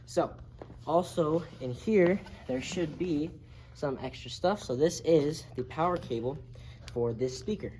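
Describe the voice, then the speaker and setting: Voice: mid-range voice, unhurried, darkish tone. A person giving a casual, step-by-step, hands-on tutorial as an instructor. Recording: noisy amateur video recording, background noise.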